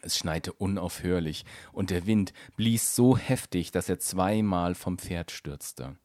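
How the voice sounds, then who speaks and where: A man reading a fairy tale aloud as an expressive, scripted storybook narration. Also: deep voice, takes it slowly, dry recording, studio quality.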